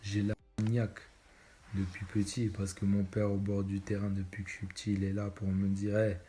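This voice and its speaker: A man's voice: fairly low pitch, flat delivery, normal speed, dark tone, slight background noise. A young man in his twenties from Belgium, answering interview questions casually and hesitantly, with many fillers and restarts. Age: 20s. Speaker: footballer interviewee.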